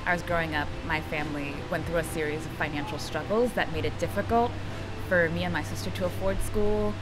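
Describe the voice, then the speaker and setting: Earnest young woman, lowish voice. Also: rough recording. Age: young adult. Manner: measured, earnest. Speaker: graduate student. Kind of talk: documentary interview. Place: bright room indoors.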